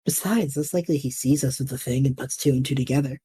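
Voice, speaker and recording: A woman acting a laid-back, impulsive, curious young student adventurer in a fantasy comedy audio drama, husky, playful and easygoing. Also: a bass voice, quick pace, warm tone, full character voice. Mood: curious, casual.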